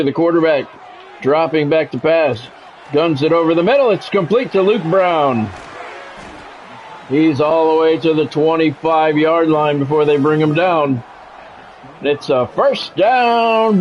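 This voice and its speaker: Male game streamer, fairly high voice, announcer-like clipped play calls mixed with easy viewer chat, music underneath. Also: a little slow, muffled recording, a little hiss or hum. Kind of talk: sports commentary mixed with casual livestream chat.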